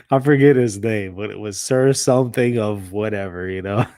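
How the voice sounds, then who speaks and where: A man from the southern US chatting casually as the host of a discussion stream, quick to laugh. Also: fairly low pitch, warm tone. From southern US, Mississippi and Louisiana area. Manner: laughs easily. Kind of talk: casual chat.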